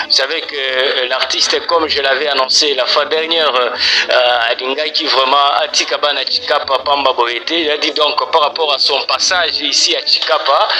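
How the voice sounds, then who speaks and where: A man's voice: mid-range voice, poor audio. A man with a Congolese accent presenting and interviewing as a show host, repeating phrases with emphatic tags.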